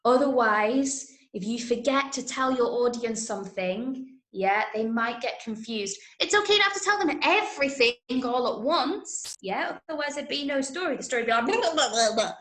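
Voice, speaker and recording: A woman's voice: a little high, lively intonation, normal speed, few pauses, a bit bright. A woman with an English accent teaching an interactive online lesson for children, emphatic and often checking that listeners follow. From Manchester, England. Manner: emphatic, checks understanding with frequent tag questions. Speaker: drama workshop teacher. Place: indoor room, online video call. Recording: echoey room on a video call.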